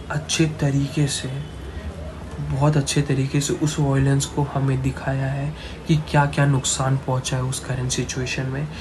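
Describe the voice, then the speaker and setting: A man with an Indian accent reviewing a film off the cuff as a channel host, halting and repeating himself, dropping English phrases into Hindi. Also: on the high side. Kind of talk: unscripted movie review to camera. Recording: clearly noisy, ambient background noise.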